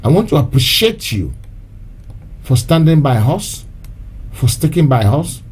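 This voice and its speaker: Guy hosting a live stream, warm low-key voice, keeps repeating his thanks, bit of hiss behind. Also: sing-song, a little slow. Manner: repetitive, thankful, mixing in short Yoruba phrases. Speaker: host of an online activist show. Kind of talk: casual live-stream address.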